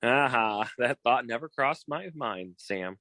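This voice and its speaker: An actor playing a cocky, boastful, theatrical private detective in a 1940s style radio comedy, pitching himself in a showy, wheedling way. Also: male voice, expressive, unhurried, bright, forceful tone, no echo. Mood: breezy, scheming. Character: cocky, theatrical fast-talking male private detective eager for partnership.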